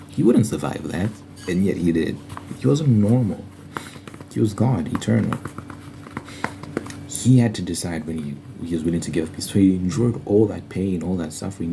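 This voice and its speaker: A man's voice: sits low, expressive, moderate pace, creaky, noisy background. A man giving an informal, earnest Bible study monologue in an ASMR style, repeating phrases for emphasis.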